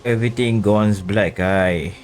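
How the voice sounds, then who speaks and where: A man's voice: on the low side, measured pace. A man musing aloud in casual commentary as he streams a game. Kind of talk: casual reaction commentary over gameplay. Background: game music.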